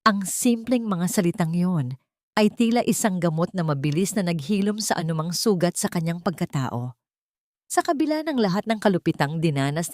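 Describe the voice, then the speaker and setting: A woman with a fairly low voice reads a dramatic tale as a storyteller. Her pitch moves in lively swings over solemn, measured, emotive phrasing. The recording is dry and close. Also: no room sound. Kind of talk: dramatic scripted narration of a Tagalog story.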